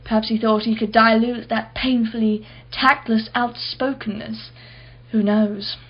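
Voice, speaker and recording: A wealthy society woman in a radio drama, played by an actress, speaks in a fairly high voice with a clipped, imperious manner. She is blunt, brittle and guarded. The recording is somewhat dull and muffled. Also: little pitch movement, measured pace. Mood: dry, candid. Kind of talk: radio play acting.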